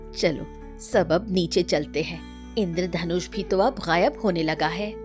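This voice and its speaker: Woman playing a slow-talking gentle grandmother. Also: on the low side, over music. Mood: gentle, admiring. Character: gentle, indulgent village grandmother. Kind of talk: Hindi cartoon story dialogue.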